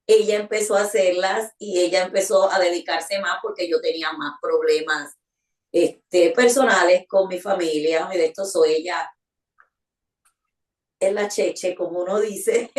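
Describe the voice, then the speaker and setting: A woman's voice: lowish voice, distant mic. A middle-aged woman, telling her story as an interview guest with many small fillers. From Puerto Rico. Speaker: guest, community volunteer. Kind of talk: conversational interview answer. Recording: roomy sound, away from the mic.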